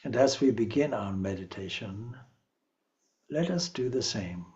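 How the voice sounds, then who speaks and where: A man leading a guided meditation as a spiritual teacher, speaking calmly and in a measured way with long pauses. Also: fairly low pitch, highs cut off.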